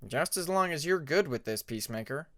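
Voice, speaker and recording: A man's voice: on the high side, lively intonation, a little fast, a bit bright. A man voicing a skeptical young male dragon, a loyal friend who talks in a blunt, grumbling way. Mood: grudging.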